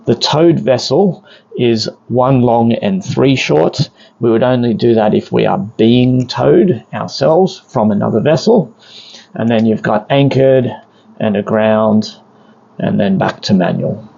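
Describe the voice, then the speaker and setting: A man's voice: medium pitch, takes it slowly, creaky, dark tone, dull audio. A man giving a plain, methodical step-by-step equipment demonstration as an instructor, recorded inside a vessel. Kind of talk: hands-on demonstration, explanatory.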